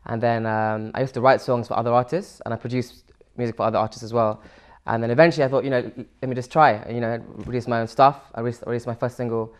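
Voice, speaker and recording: A man's voice: mid-range voice, level tone, fast, hardly any pauses, clean tone. A young man from Sri Lanka, answering interview questions casually with many fillers and restarts. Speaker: musician guest.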